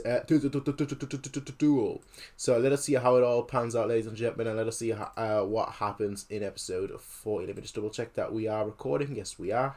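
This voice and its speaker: A man giving casual, rambling reaction commentary as a host, breaking into excited exclamations. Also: mid-range voice.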